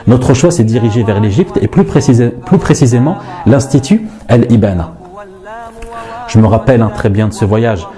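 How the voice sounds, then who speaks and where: A man's voice: medium pitch, lively intonation, normal speed, mellow, soft background music. A man in his forties from France, an Arabic teacher, introducing himself in a chatty, self-mocking yet earnest way. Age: 40s. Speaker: Arabic teacher and institute director.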